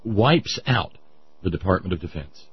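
A man hosting a talk show, giving sarcastic, rambling political commentary in a husky voice. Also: low-pitched, lively intonation, moderate pace, muffled recording, slight background noise. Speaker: radio show host.

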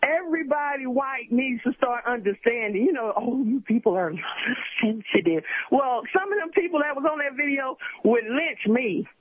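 A man's voice: very high-pitched, normal speed. A man in his fifties calling in to a radio show, impassioned and insistent, heard over a phone line. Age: 50s. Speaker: caller. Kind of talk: call-in radio conversation. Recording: tinny dry phone line.

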